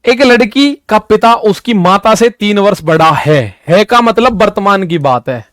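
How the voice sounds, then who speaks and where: Young male maths tutor, high voice, dry close sound, repeats key points, talks straight to students. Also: clear, resonant tone, no room sound. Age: 20s. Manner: repetitive, addresses students directly with "ठीक है" and "भाई"; explains with everyday examples. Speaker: maths teacher. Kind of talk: exam-prep maths lesson.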